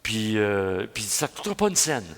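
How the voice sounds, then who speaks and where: A man's voice: on the low side, sing-song, normal speed. Older quebec priest telling stories in his homily, chatty and folksy, lots of restarts, church echo all around. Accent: Quebec French. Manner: folksy storytelling, many restarts and "hein?". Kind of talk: homily at mass.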